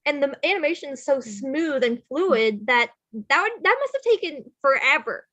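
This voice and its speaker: A woman's voice: sits high, big pitch swings, moderate pace, pushed and bright. A woman talking casually in a group discussion. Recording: slightly muffled call audio.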